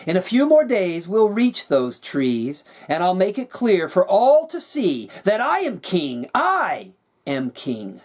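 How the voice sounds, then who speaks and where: A man's voice: high voice, huge pitch swings, takes it slowly, heavily acted. A man voicing a cold, scheming, power-hungry king in a rhyming storybook, imperious and menacing. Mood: gloating, menacing. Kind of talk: storybook character reading in rhyme.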